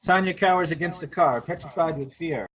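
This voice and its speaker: Higher-voiced man narrating a screenplay. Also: fairly quick, fairly bright tone, rough recording. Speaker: narrator reading stage directions. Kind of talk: scripted narration at a table read.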